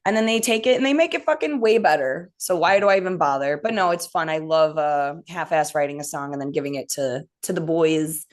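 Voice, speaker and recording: Midwestern woman, deep-pitched, easygoing joking band guest. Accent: Midwestern American. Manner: laid-back, joking, self-deprecating. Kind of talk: casual interview chat.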